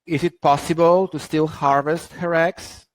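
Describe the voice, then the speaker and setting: A man's voice: fairly high pitch, fairly even intonation, unhurried, dry recording, rough recording. A man moderating a webinar, politely reading out audience questions with fillers.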